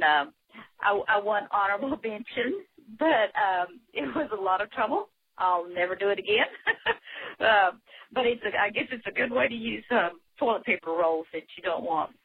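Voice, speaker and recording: An older woman from Texas leaving a phone-in message, walking through a craft project step by step with soft laughs. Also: medium pitch, slightly rough. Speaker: caller. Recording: phone line.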